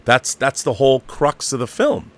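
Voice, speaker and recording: A man's voice: mid-range voice, big pitch swings, normal speed. A middle-aged or older man hosting a live show, giving opinionated, casual film commentary and repeating phrases for emphasis.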